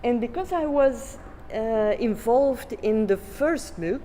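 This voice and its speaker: A woman's voice: a little high, lively intonation, slow pace, dark tone, a little hiss or hum. Flemish woman presenting in a reverberant hall. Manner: hesitant, many fillers and restarts. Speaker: conference speaker. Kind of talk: conference presentation. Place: conference hall. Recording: long reverb in a conference hall.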